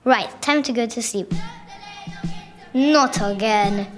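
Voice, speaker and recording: Girl playing puzzled school kid, highish voice, music behind. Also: takes it slowly. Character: sleepy, game-obsessed school pupil Freddy, puzzled and stubborn. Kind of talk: scripted radio play acting.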